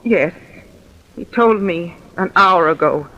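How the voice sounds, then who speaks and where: A woman's voice: fairly low pitch, slow pace, pushed and bright, lo-fi sound. An actress playing the anguished, loyal wife of a wanted man, pleading melodramatically in old-time radio drama style. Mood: distressed. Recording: noisy vintage radio recording.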